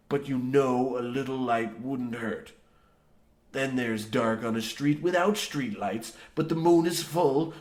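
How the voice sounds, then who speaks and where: An actor playing a grizzled, half-mad old coal miner, boastful and ominous, rambling theatrically in rustic archaic phrasing in a comic old-time radio horror play. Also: male voice, medium pitch, close to an everyday voice. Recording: roomy, echoing sound.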